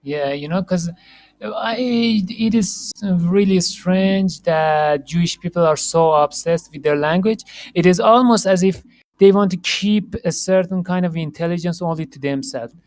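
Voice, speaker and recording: Halting male podcast host, dry sound. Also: high voice, darkish tone, no echo. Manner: halting, many restarts and fillers, laughs often. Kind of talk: casual interview chat.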